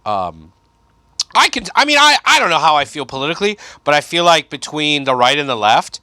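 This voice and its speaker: A man's voice: on the high side, brisk, no echo. A man in his thirties from Michigan, a comedian guest chatting in a basement podcast, profane and sarcastic. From Michigan, USA. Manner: animated, profane, sarcastic riffing. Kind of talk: casual podcast chat.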